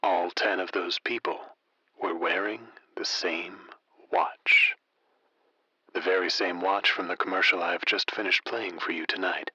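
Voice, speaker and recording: A deep-voiced, mysterious radio host, folksy and conspiratorial. The voice is husky yet very forceful and sharp-edged. It is recorded close and dry, as in late-night radio drama. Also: male voice, steady tone, no echo, dull audio, heavily acted. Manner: deliberate, intimate, conspiratorial.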